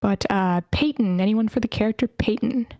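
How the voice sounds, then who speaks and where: A woman's voice: mid-range voice, moderate pace, a little mellow, no echo, clean studio sound. A woman hosting an online script table read, chatting casually in an informal, playful way. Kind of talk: casual chat, moderating an online meeting. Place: online voice meeting, dry close sound.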